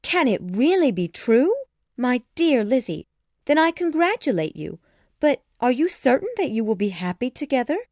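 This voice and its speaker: Woman acting a sweet, high-voiced joyful young sister. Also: sing-song, moderate pace, darkish tone, dry recording, clean studio sound. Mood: amazed, caring.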